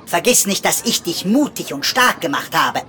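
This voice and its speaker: Mann spielt frechen kleinen Blitzprinzen. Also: male voice, high voice, big pitch swings, moderate pace, bright, forceful tone, slight background noise, pitched above the performer's own voice, full character voice. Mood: haughty. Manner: boastful, grumbling and demanding. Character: cheeky little male lightning prince, boastful and whiny.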